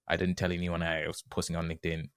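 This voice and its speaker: Deep-voiced young man, dry close sound. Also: monotone, quick pace, fairly bright tone, clear, resonant tone, dry recording. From Zimbabwe. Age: young adult. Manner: easygoing, earnest, many "you know" fillers. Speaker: guest, penetration tester. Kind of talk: casual podcast interview.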